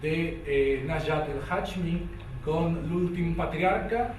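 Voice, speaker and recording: A man's voice: a little high, takes it slowly, darkish tone. A man with an Argentine Spanish accent giving a conference lecture, hesitant, with many fillers and restarts. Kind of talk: academic lecture with a read-aloud poem. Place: lecture hall. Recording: echoey hall from far off, faint noise.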